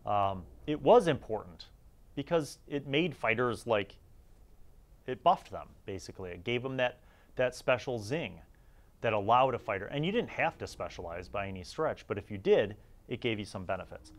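A man's voice: lowish voice, animated, normal speed. A man hosting a retro tabletop gaming review, mixing read-aloud excerpts with informal, chatty commentary. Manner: informal, slangy, chatty asides.

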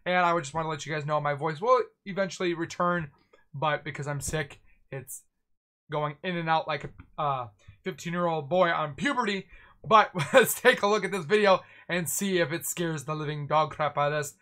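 A young man hosting a reaction video, chatting excitedly while sick with a scratchy voice, on a headset in a small gaming room. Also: on the high side, moderate pace. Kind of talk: casual reaction commentary.

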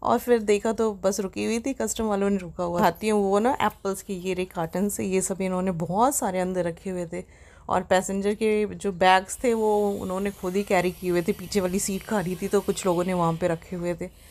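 A young woman talks through her trip in a casual, chatty voice-over, quick to get excited. Her pitch sits in the middle of the usual range. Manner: chatty, excitable, mixes English into Hindi. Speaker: travel vlogger. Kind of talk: casual travel vlog voice-over.

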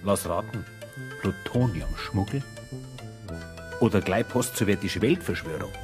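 An actor playing a wisecracking, sceptical detective with deadpan irony and a Bavarian accent in a radio drama. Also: male voice, lowish voice, a little slow, music in the background. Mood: mocking deadpan. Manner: deadpan, ironic. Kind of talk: naturalistic radio drama acting.

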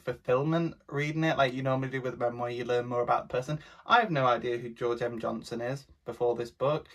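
A young man chatting casually to camera, rambling with exaggerated rants while his voice is going hoarse. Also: mid-range voice, fairly bright tone. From Britain. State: losing his voice. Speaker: book vlogger.

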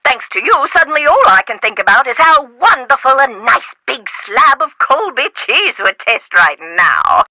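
A flamboyant, haughty scholar from a mystery game, heard over a telephone. Her voice is high and piercingly bright, swooping theatrically through precise, gushing, impatient phrases. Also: sing-song, moderate pace, hardly any pauses, no room sound, exaggerated voice, low-quality recording. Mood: airy, delighted. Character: flamboyant, impatient, food-obsessed eccentric woman scholar. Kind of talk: video game voice acting.

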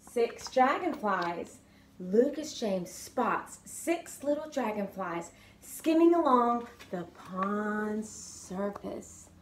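A middle-aged woman, a grandmother, reading a picture book aloud to her grandchild in a living room, slow and gentle, livening up the character lines. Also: mid-range voice, big pitch swings, slight background noise. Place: living room couch, indoors. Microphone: phone or webcam in front of the couch.

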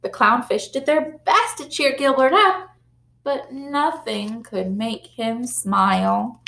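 Young teacher reading aloud in an echoey classroom. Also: female voice, a little high, slow, vocal fry. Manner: animated, sympathetic storytelling. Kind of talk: read-aloud storytime for young children. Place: classroom, indoors. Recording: roomy classroom echo.

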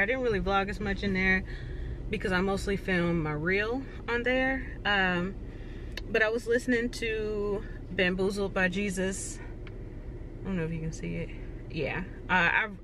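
Casting: woman vlogger, chatty and self-deprecating, faint background hiss. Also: medium pitch. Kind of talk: casual vlog talking to camera. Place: home, then in her car. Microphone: phone or camera, self-filmed.

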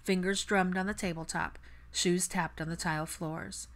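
A woman's voice: on the low side, steady tone, moderate pace, fairly bright tone. A woman giving a scripted audiobook narration of fan fiction.

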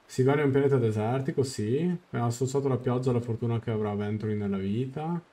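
A man's voice: medium pitch, fast talker. A young adult Italian man streaming, translating game dialogue aloud and correcting himself as he goes. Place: small indoor room at home. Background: soft game music.

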